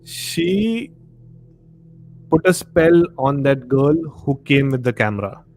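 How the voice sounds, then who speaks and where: A man with an Indian accent hosting a casual live discussion, explaining in a halting way and checking that he is understood. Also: medium pitch, sing-song, gravelly, a little mellow, soft background music, rough recording. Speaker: live stream host. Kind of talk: casual discussion of horror films and the supernatural.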